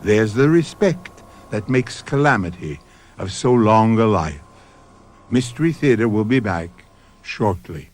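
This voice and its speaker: A man hosts a radio mystery show and narrates it in slow, measured, dramatic phrases. His pitch sits at a middle level and moves widely. He lingers on each word. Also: animated, slight background noise. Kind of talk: scripted narration.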